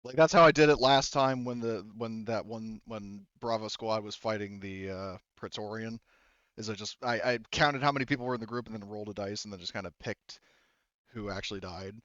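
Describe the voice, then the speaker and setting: A man working as game master, narrating an improvised story in a halting, conversational way with some casual swearing. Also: on the low side, a bit bright, dry recording, muffled recording.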